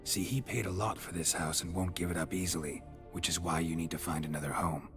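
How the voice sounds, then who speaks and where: An actor playing a laconic, dry-witted monster hunter in a video game, gravelly and terse. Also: male voice, deep voice, brisk, music in the background. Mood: calm, firm. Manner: terse, deadpan. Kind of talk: video game character acting.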